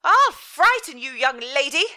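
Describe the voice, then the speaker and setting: A woman voicing a furious middle-aged Victorian mother, scolding in a shrill, near-shouting voice. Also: expressive, unhurried, full character voice.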